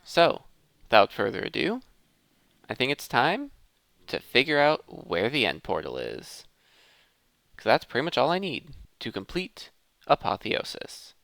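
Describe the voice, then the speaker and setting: A man's voice: mid-range voice, wildly swooping pitch, a little slow, gravelly, pauses often, fairly bright tone, dry recording. A man giving casual, conversational gameplay commentary as a gaming host, thinking aloud as he plays.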